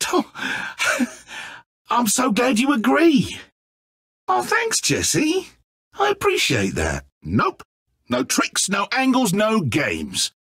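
A man's voice: high-pitched, a bit bright, slightly rough, dry recording, clean studio sound, heavily acted. An actor playing a petulant, needy, godlike male villain in a video game, with a British accent and a theatrical, sarcastic, stammering manner. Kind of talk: video game character acting.

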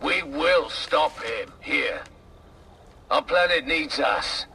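Gravelly heroic space commander, slow rousing speech, a bit distant w faint noise. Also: male voice, fairly high pitch, sharp tone, full character voice. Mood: rousing, resolute. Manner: rousing, declamatory. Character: heroic, solemn sci-fi squad leader.

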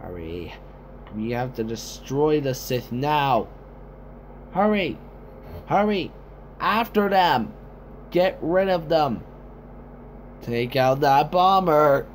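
An excitable sci-fi war commander played by an amateur man barks urgent game callouts. His voice sits fairly high and swings widely in pitch. The words come haltingly and rather slowly, as homemade battle lines. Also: a little hiss or hum. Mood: urgent, commanding.

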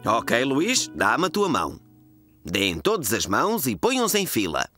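A man's voice: mid-range voice, wildly swooping pitch, a little slow, bright, forceful tone, faint music behind, exaggerated voice. A man with a European Portuguese accent playing a friendly, encouraging fire chief in a children's cartoon dub. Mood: cheerful, encouraging. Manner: cheerful, encouraging, explanatory.

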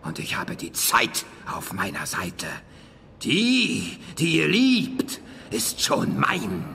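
Slow, gravelly, menacing vampire count. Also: male voice, medium pitch, huge pitch swings, pushed and bright, slight background noise, heavily acted. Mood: taunting menace. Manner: theatrical, slow, taunting. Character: gloating, menacing vampire count, ancient and commanding. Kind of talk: German audio drama acting.

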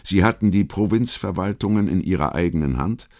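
A man with an extremely low voice reads a scripted history documentary. His delivery is measured and literary, shaped like a rhetorical essay read aloud for an audiobook. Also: lively intonation, darkish tone. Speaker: audiobook narrator.

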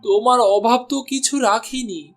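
A man's voice: very high-pitched, moderate pace. A man voicing an honest, hard-pressed village butcher and meek husband in a cartoon, earnest and pleading. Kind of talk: Bengali cartoon voice acting.

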